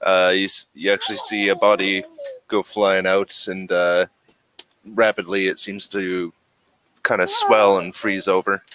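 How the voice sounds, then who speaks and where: A man narrating a tabletop role-playing game as game master in a casual, halting way, thinking aloud as he goes. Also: deep voice, little pitch movement, a bit bright. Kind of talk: casual, improvised game narration and rules talk.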